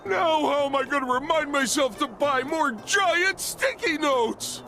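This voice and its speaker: A man's voice: very high voice, bright, forceful tone, faint music behind, full character voice. A man voicing a dim-witted, good-natured, childlike starfish in a cartoon game, with a goofy manner. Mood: wailing. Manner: slow, goofy, dopey. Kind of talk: animated cartoon game acting.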